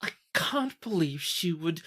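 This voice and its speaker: A woman's voice: on the low side, sing-song, measured pace, a bit bright, voice pushed up, full character voice. A woman voicing a haughty, mocking noblewoman in a tabletop game, with a condescending, mock-polite drawl. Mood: mocking, disdainful. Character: haughty, mocking noblewoman, sneering and easily enraged.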